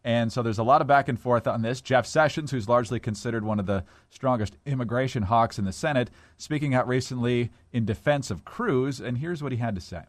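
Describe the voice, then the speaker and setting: An American man co-hosting a political talk show, giving measured, matter-of-fact commentary in a conversational way. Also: mid-range voice, no room sound, studio quality. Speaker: podcast co-host.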